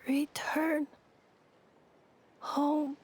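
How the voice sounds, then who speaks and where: A woman voicing a damaged female humanoid machine in a video game, blank and faltering, speaking in halting fragments. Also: high voice, flat delivery, a little mellow, a little hiss or hum. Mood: faint.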